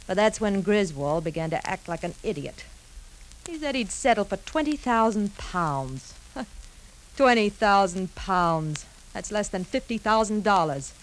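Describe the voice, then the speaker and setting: A woman's voice: medium pitch, animated, unhurried, close to an everyday voice. An actress playing a scheming, ruthless woman who coyly feigns innocence, in a theatrical 1950s radio drama style. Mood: calculating, persuasive. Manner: theatrical, coy, calculating. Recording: noisy 1950s radio broadcast recording.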